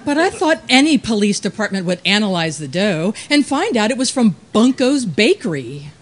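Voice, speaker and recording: A woman's voice: mid-range voice, big pitch swings, moderate pace. A woman playing a scheming, exasperated widow and murderess in a comic old-time radio play. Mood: confessing.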